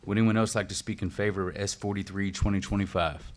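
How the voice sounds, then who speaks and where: Deep-voiced meeting chair. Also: male voice, steady tone. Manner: brisk, formal.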